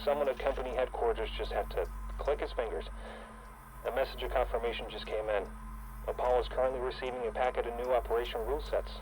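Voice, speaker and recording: A man's voice: medium pitch, fairly even intonation, fast talker, darkish tone, lightly acted, poor audio. A man playing an astonished company insider passing on confidential news in a recorded video game message. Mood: amazed, confiding. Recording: faint ambient noise.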